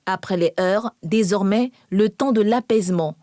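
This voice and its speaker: A woman's voice: mid-range voice, moderate pace, dark tone, no echo, clean studio sound. A woman reporter narrating a scripted television news report.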